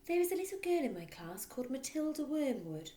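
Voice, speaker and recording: A woman voicing a gentle, nervous but determined teacher in a storybook, polite and pleading. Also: high-pitched, sing-song, fairly quick, voice pushed up. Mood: earnest protest. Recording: roomy echo.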